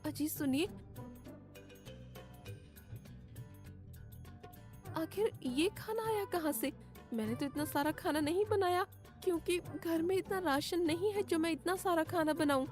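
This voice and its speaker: Casting: woman performer as sharp-tongued village wife for a cartoon moral tale; high, complaining, worried, music underneath. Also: moderate pace. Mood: worried, puzzled. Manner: scolding, complaining. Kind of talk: Hindi cartoon story acting.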